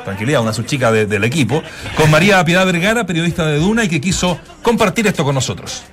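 A man's voice: a little high, expressive, quick pace, a bit bright. A man hosting a radio sports show, introducing a farewell tribute in a playful, joking way. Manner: playful, jokey, with asides to the panel. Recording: music playing behind.

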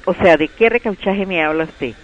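A woman's voice: on the low side, normal speed. A woman with a Colombian accent, a shop employee answering a call politely and formally. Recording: muffled phone line with faint noise.

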